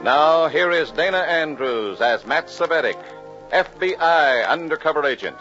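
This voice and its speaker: A man's voice: a little high, expressive, measured pace. A man announcing in formal 1950s radio style, as the announcer of a dramatic show. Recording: dull 1950s radio recording, music behind.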